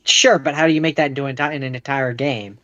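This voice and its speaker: A man's voice: medium pitch, fairly even intonation, fast talker, bright, forceful tone, no echo. A man co-hosting a podcast in casual, conversational chat, recorded on a slightly dull, lo-fi microphone. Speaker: podcast co-host.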